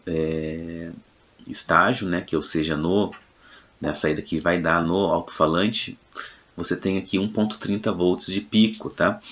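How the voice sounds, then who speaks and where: A man's voice: low-pitched, fairly bright tone. A Brazilian man giving an informal technical tutorial as a lecturer, explaining in a hesitant way with frequent check-in tags. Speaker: electronics lecturer. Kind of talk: informal technical tutorial narration over a demonstration.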